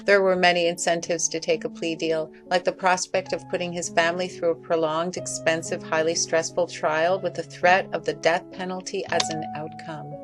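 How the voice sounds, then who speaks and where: A woman's voice: lowish voice, little pitch movement, moderate pace, few pauses. A woman reading a scripted critical commentary as a video essay narrator. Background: music.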